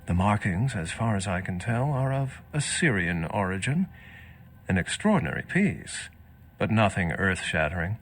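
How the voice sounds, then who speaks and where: An actor playing a wry, worldly old vampire scholar in a video game, speaking in an erudite, measured and sardonic way. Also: male voice, on the low side, sing-song, slightly rough. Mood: dry, scholarly.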